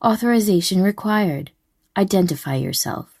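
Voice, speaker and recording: A woman's voice: medium pitch, expressive, normal speed, darkish tone, no room sound, clean studio sound. A woman voicing a spaceship computer, giving an impersonal, commanding emergency announcement in a sci-fi intro. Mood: impersonal warning.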